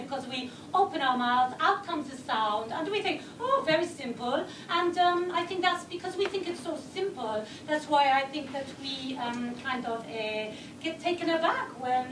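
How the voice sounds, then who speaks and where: A high-pitched woman talks earnestly about her work, stumbling and repeating words as she gathers her thoughts. She sounds far from the mic, in an echoey room with steady background noise. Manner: earnest, hesitant, repeats words while searching. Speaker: head speech and language therapist. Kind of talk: recorded interview.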